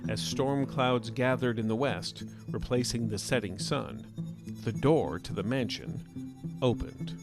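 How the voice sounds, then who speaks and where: A man hosting a fiction podcast, reading scripted narration in a measured, dramatic storytelling style. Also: mid-range voice, over loud music.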